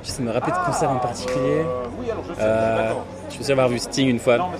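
A man from a band chatting casually in an interview outdoors at a festival, quick and relaxed with many fillers. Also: mid-range voice. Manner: relaxed, talks fast with fillers. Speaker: band member. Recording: loud festival music and crowd behind. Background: loud music and crowd.

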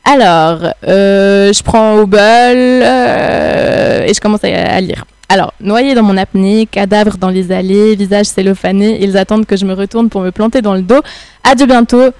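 A woman's voice: mid-range voice, moderate pace, darkish tone, clear, resonant tone, no room sound. A woman hosts a light quiz game between laughs, reading out lines for others to finish. Her voice is close and dry, with no room sound around it. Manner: laughs often, reads lyrics aloud. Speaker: guest running a quiz game. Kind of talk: playful quiz hosting.

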